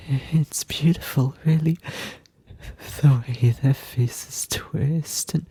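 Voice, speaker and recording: A man voicing an insane, doting, sadistic kidnapper in an ASMR roleplay, husky and often whispering, with halting, sweetly menacing delivery. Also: mid-range voice, a little mellow, no echo, heavily acted. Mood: rapturous, sinister.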